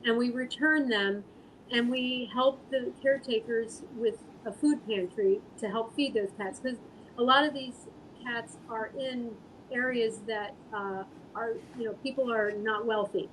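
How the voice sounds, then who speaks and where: A woman speaking as an interview guest, answering casually in an earnest, halting, thoughtful way. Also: on the high side, fairly bright tone, a little hiss or hum, low-quality recording. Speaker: guest artist and animal rescue volunteer.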